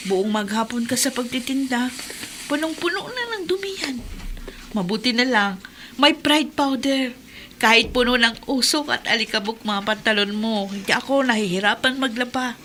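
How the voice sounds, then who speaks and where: An actress playing a peace-loving, anguished wife in a radio drama, with an emotional, pleading way of talking. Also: female voice, a little high, pushed and bright, slight background noise. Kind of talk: Tagalog radio drama acting.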